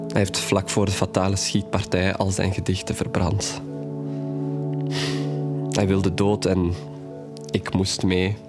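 A man's voice: low voice, monotone, slow pace, pauses often, over loud music. An actor playing a grieving, candid young man telling of his troubled past in an audio drama. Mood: grieving, earnest. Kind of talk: audio drama acting, hesitant storytelling.

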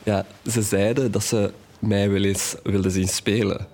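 An actor playing a gentle, hesitant young student friend, somewhat frail, in an audio drama. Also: male voice, fairly low pitch, flat delivery, a little slow. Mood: rueful. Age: young adult.

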